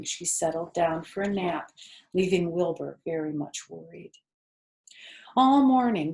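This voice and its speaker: A woman's voice: on the low side, darkish tone. An older woman reading a children's book aloud as a storyteller.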